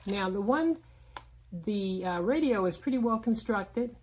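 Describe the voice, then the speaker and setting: A woman giving a casual, explanatory product demonstration in plain words, often pausing mid-sentence. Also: mid-range voice, expressive, a little mellow. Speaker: product reviewer.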